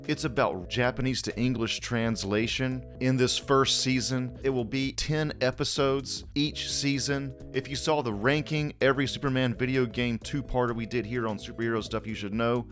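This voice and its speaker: A man's voice: mid-range voice, normal speed, hardly any pauses, a bit bright. A man with a mid-range voice delivers a casual podcast promotion. He speaks as the host himself, with light music playing behind him.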